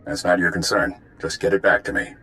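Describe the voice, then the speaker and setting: An actor playing a curt, secretive intelligence commander in a video game, clipped and authoritative. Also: male voice, sits low, brisk, sharp tone, a little hiss or hum, rough recording. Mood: stern.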